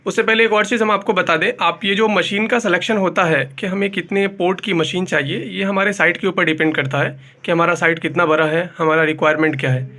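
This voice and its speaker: A man's voice: high-pitched, fairly even intonation, brisk, hardly any pauses, bright, forceful tone. A man presenting a hands-on technical tutorial as a technician, explaining step by step, repeating points and mixing English technical terms into his speech.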